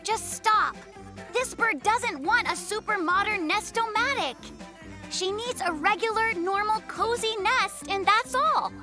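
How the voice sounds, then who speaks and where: A woman voicing a sweet, earnest, nature-loving little bear cub in a children's cartoon, tender and gently insistent. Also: very high-pitched, unhurried. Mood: firm. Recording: music bed behind the voice.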